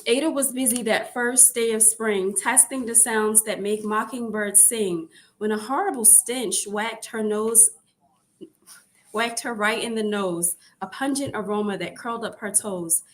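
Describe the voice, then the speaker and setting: Slow, expressive woman reading a bedtime story. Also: fairly high pitch. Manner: expressive, repeats phrases for emphasis. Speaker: storyteller reading a children's picture book. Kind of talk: read-aloud bedtime story narration.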